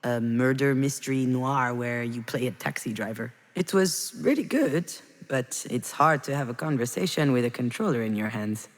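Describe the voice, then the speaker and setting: A woman's voice: rumbling low, moderate pace, hardly any pauses. An actress playing a melancholy, regretful woman in a video game, confiding hesitantly in an introspective monologue. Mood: reflective. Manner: hesitant, confessional, reflective. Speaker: video game protagonist narrating her memories.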